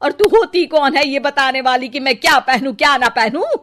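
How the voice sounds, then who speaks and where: A woman voicing a cranky, sharp-tongued older Indian mother-in-law in a Hindi cartoon story, with a scolding, sarcastic manner. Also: high-pitched, expressive, normal speed. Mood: indignant.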